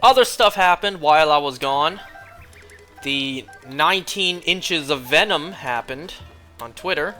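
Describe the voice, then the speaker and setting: A young man streaming a game, chatting casually and rambling, clearing his throat often as he is losing his voice. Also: a little high, animated, a little slow, pushed and bright, faint music behind.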